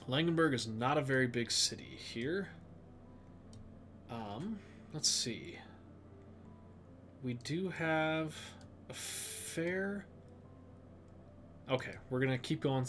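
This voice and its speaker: A man with a stuffed-up nose, thinking aloud slowly with lots of fillers as he streams a geography game. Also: medium pitch, fairly bright tone, slight background noise. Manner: slow, musing, thinks aloud with many fillers. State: stuffed up, sniffly. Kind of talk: casual live-stream commentary.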